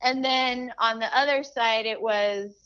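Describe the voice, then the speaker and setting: A middle-aged woman from Chile talking as an interview guest, animated, with many restarts and fillers. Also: on the high side, unhurried, clear, resonant tone, lo-fi sound. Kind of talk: casual interview chat.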